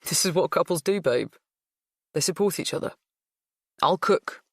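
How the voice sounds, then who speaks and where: Woman voicing an easygoing, low-voiced boyfriend. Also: lively intonation, normal speed, slightly rough, dry recording, clean studio sound. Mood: upbeat. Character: upbeat, reassuring husband-to-be. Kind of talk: audiobook character dialogue.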